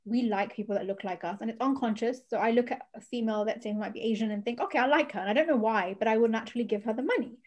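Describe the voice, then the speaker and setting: A woman's voice: a little high, very fast, hardly any pauses, darkish tone. A woman speaking as a panelist, explaining her points earnestly. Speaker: panelist, venture fund founder. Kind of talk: panel discussion.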